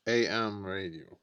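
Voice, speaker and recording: A man's voice: sits low, fairly bright tone, clear, resonant tone, dry recording. Man casually musing through an unboxing. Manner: musing aloud, tentative, short fragmented remarks. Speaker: product unboxing vlogger. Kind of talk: casual unscripted unboxing commentary.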